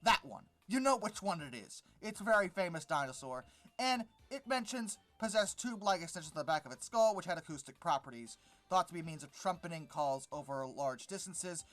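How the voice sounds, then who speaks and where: An informal video commentator with a middling pitch critiques material point by point. The delivery is exasperated and sarcastic, often waving things off dismissively. Faint music plays behind the voice. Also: lively intonation, a little fast, a bit bright. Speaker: host of a paleontology commentary channel.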